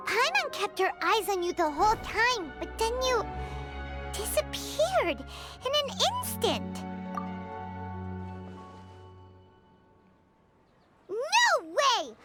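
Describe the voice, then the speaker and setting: A woman voicing a small, excitable, childlike fairy companion in an animated video game, with a squeaky, emotional delivery. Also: slow pace, heavily acted. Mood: insistent. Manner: refers to self in third person, emotional. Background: background music.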